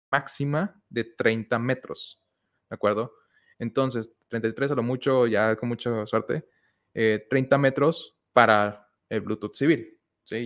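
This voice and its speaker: A young man giving a casual technical talk at an online meetup, pausing often with fillers and restarts, recorded on a headset mic in a small room. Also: medium pitch. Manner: hesitant, many fillers and restarts. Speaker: meetup speaker. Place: small room with whiteboard, indoors. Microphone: headset with boom mic.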